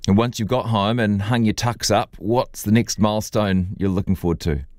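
A male radio host, asking questions in a relaxed, conversational way. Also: fairly low pitch, normal speed, dry recording, clean studio sound. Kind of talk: radio interview.

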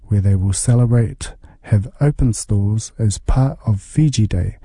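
A man narrating a radio feature report in a measured, scripted way. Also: lowish voice, background noise.

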